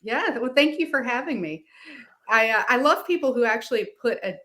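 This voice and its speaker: A woman from North Carolina, a guest on a podcast, talking in a relaxed, easygoing way. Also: a little high, brisk. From North Carolina, USA. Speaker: guest, executive coach. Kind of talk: casual podcast interview.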